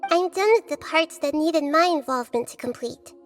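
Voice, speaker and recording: A woman voicing a gentle, wise young girl deity in a video game, speaking in a calm, measured, earnest and articulate way. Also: sits high, soft background music, lightly acted. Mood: helpful.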